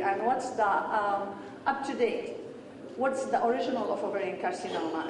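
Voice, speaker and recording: A woman from Syria, giving an earnest medical lecture in short explanatory phrases and answering questions in a large hall. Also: on the high side. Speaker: pathology lecturer. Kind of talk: conference lecture and Q&A. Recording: echoey hall, distant mic, background noise.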